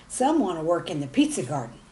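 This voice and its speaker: Woman, lower pitch, very lively intonation, folksy children's storytelling, noticeable background noise. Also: fairly quick. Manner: folksy, animated, addresses young listeners directly. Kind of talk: expressive storytelling for young children.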